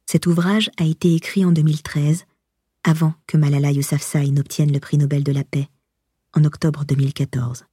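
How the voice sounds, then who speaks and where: Woman, audiobook narrator, very husky and breathy, mellow dark timbre, measured expressive reading, scripted memoir narration. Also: low voice, dry recording, clean studio sound.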